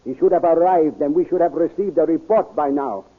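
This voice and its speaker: An actor playing a wise older scientist prince in a 1930s radio serial, measured and authoritative. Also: male voice, fairly high pitch, lightly acted. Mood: commanding. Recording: tinny, lo-fi 1930s radio transcription.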